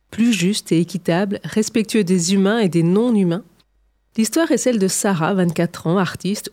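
A woman's voice: medium pitch, warm tone. A woman presenter reading a scripted radio feature narration.

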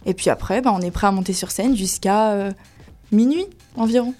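A woman's voice: mid-range voice, brisk, faint music behind. A woman who works as a cabaret dancer, chatty and explaining her daily routine in detail. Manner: lively, talkative explainer. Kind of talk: explaining her work in an interview.

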